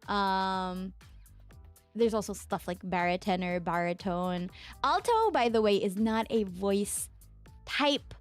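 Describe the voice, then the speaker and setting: A young woman from the Philippines, a streamer chatting casually with her viewers and answering their questions, with a low voice from a lingering sore throat. She rambles with plenty of fillers and laughs. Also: lively intonation, slow pace, dry recording, faint music behind. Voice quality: mezzo-soprano singing voice. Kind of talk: casual chat with chat readers.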